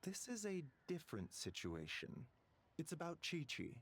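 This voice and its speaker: An actor playing a composed, courteous doctor in a video game, speaking formally and with measured concern. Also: male voice, a little high, wildly swooping pitch, fairly bright tone, breathy edge, heavily acted. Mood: grave, concerned.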